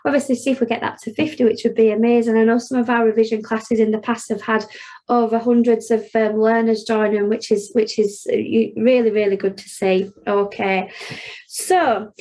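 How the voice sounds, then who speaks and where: A woman's voice: a little high, darkish tone, low-quality recording. A woman with a Yorkshire accent, an accounting tutor leading a live online revision class, talking casually and filling gaps with "okay" and "um". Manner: chatty, frequent "okay" and "um", talks students through steps.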